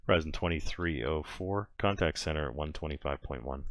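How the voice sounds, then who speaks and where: A man's voice: extremely low pitch, fairly quick, no room sound, clean studio sound. A man speaking as an air traffic controller, giving clipped, procedural instructions in radio phraseology. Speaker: player acting as departure air traffic controller.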